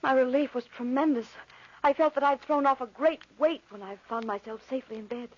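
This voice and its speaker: A high, breathy woman's voice on a dull vintage radio recording. an anxious young bride haunted by a nightmare, telling it with breathless, dramatic emotion in 1940s radio style. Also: fairly quick. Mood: haunted, relieved. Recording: muffled old radio recording.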